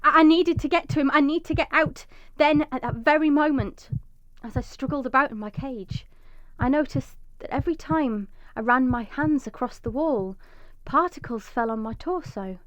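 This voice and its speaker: High-pitched, echoey actress as a desperate trapped mother. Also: normal speed, natural voice. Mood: urgent. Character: desperate, vengeful young mother trapped underground, fiercely protective. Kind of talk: dramatic first-person radio drama monologue. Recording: echoey, slightly dull recording.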